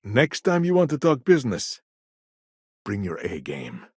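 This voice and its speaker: Suave shrewd business negotiator in a game, lowish husky voice, lively delivery, really dry sound. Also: male voice, a little slow, no echo, exaggerated voice. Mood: wry, confident. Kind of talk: video game dialogue acting.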